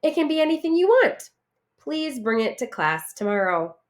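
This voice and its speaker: Woman playing kind cookie schoolteacher in a storybook, encouraging and announcing, lively melodic pitch. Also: normal speed. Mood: cheerful announcing. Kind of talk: storybook character voice.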